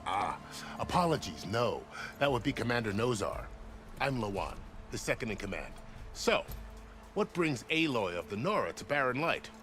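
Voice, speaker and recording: An actor playing a genial, wry second-in-command army officer in a video game, courteous and gently teasing. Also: male voice, medium pitch, very animated, normal speed. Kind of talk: video game cutscene acting. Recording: music behind the voice.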